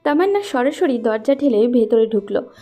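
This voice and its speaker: Casting: female storyteller for romance audio, high but mellow voice, gentle music bed. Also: lively intonation, normal speed. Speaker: narrator. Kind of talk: scripted romantic story narration.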